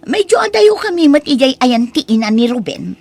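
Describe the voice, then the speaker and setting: A woman with an Ilocano accent playing a sharp-tongued, headstrong young wife in an audio drama. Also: high-pitched, a little fast, gravelly, husky, no room sound, full character voice. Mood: complaining. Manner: expressive, complaining.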